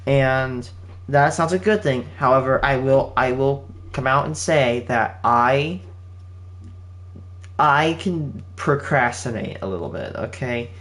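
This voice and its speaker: An eighteen-year-old man talks casually to his viewers from home, in a voice of ordinary middle pitch. He rambles and restarts his sentences often, with plenty of fillers. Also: slow pace, a bit bright. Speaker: channel host. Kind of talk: casual spoken update to viewers. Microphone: home setup with game console running nearby.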